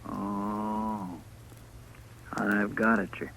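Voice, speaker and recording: An American man with a rural drawl playing a naive, eager marshal's helper in a radio western, folksy and respectful, with a husky voice. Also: fairly low pitch, completely flat delivery, extremely slow, a bit bright, slight background noise, heavily acted, poor audio. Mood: curious, obedient. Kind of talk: 1950s radio drama acting.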